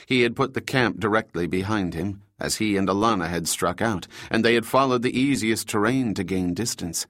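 Low-voiced male thriller narrator. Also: normal speed, hardly any pauses, a bit bright, no echo, studio quality. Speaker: audiobook narrator.

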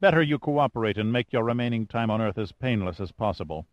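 A man voicing a calm, cold middle-aged male mine boss who threatens in a matter-of-fact way. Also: lowish voice, no room sound, lo-fi sound, close to an everyday voice. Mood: cold, mocking. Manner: measured, matter-of-fact menace.

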